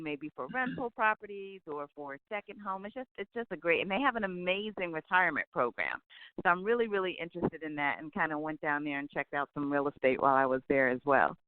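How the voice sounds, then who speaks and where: A woman's voice: deep voice, clear, resonant tone, no echo. A woman in her forties, a guest in a phone interview, talking upbeat and enthusiastic about her business. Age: 40s. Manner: upbeat, enthusiastic, says "absolutely" often. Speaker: guest, travel business owner. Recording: tinny phone line.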